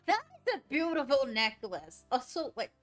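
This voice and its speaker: A woman's voice: high-pitched, very animated, normal speed. A woman livestreaming a video game, giving casual play-along commentary in an offhand way, often muttering to herself. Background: game music.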